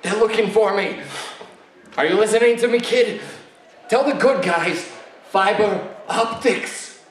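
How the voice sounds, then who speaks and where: A man's voice: high-pitched, unhurried, a little hiss or hum. A man playing a wounded, desperate secret agent in an old-time radio drama, straining out urgent words. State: coughing, badly wounded, straining to speak. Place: live radio show rehearsal in a large room. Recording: very echoey hall, from off mic.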